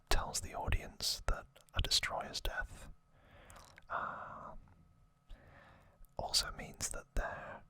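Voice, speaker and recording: A man whispers an ASMR recap at a slow pace. The whisper is breathy and very sharp, with no voiced tone. He moves along haltingly, pausing between short phrases. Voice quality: breathy, unvoiced whisper throughout. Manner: soft, unhurried, halting delivery with pauses. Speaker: ASMR host.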